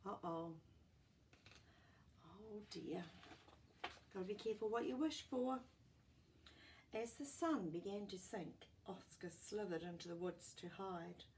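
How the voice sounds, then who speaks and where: A woman reading a picture book aloud to children, expressive and exclamatory, with chatty asides to her young listeners. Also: mid-range voice, slow, pauses often, recorded from a distance, slight background noise. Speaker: children's storyteller.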